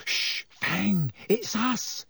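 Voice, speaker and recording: A man with a husky voice voicing an anxious, bossy teenage schoolgirl, pushing his pitch well up into an urgent, insistent half-whisper. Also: animated, very slow, pushed and bright, no echo, clean studio sound, full character voice. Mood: hushed.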